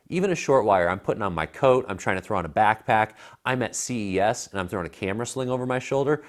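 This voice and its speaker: A man in his thirties giving a casual, emphatic and opinionated product review as a co-host. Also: fairly low pitch. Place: living room by a fireplace, indoors. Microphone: lapel mic.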